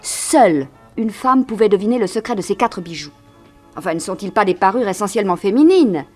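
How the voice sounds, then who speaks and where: An actress playing a sharp, assertive young female detective's assistant in a French radio crime drama, speaking emphatically and with careful articulation. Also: mid-range voice, lively intonation, a little fast, soft background music, natural voice. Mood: assured.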